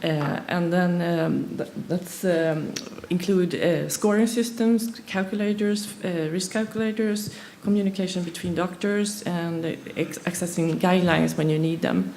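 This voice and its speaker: Halting Swedish-born woman with a gravelly voice. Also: fairly low pitch, steady tone, darkish tone, slight background noise. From Sweden. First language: not English. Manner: halting, many fillers and restarts. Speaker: conference presenter. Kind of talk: conference presentation.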